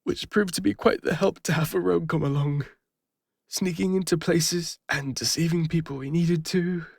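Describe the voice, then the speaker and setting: A man with an English accent playing a regretful fantasy adventurer and healer, confiding haltingly in an intimate audio roleplay. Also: a little high, steady tone, gravelly, breathy edge, no echo, clean studio sound. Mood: somber recounting. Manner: hesitant, confessional, halting with pauses.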